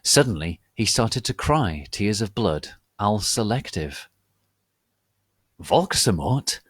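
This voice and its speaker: A low-pitched man reads as host of a dramatic reading. The delivery is formal, grave and mock-solemn, with very deliberate, slow pacing. The sound is dry and close. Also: expressive, no echo. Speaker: host and reader.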